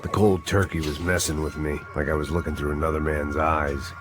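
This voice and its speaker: An actor playing a weary, cynical middle-aged ex-cop in a game, narrating in a sardonic deadpan American voice over music. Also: male voice, extremely low pitch, brisk. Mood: grim, sardonic. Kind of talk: hard-boiled voice-over narration.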